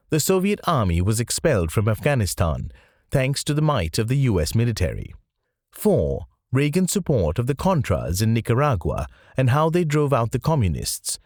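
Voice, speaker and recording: A man narrates a nonfiction audiobook from a script. His intonation rises and falls dramatically across phrases, while the delivery stays measured and carefully enunciated. The sound is dry, with almost no room around the voice. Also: medium pitch, darkish tone, dry recording.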